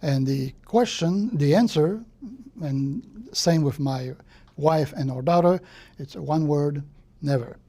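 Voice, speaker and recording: A man's voice: on the high side, takes it slowly, warm tone. An older man from Quebec with a Quebec French accent, a native French speaker telling his life story in an interview and often tagging his points with "no?". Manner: thoughtful, repeats words, ends points with "no?". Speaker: guest.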